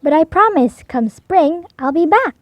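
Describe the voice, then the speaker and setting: A woman's voice: very high-pitched, lively intonation, measured pace, no room sound. A woman voicing a sweet, playful little female bird in a storybook, with a singsong calling manner. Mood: gentle promise.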